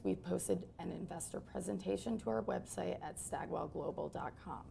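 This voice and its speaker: A woman with a very creaky, low voice reads scripted corporate material as the moderator of a company call. Her tone is mellow and her delivery formal and measured. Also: a little hiss or hum. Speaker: earnings call moderator introducing executives. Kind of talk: scripted corporate disclaimer reading.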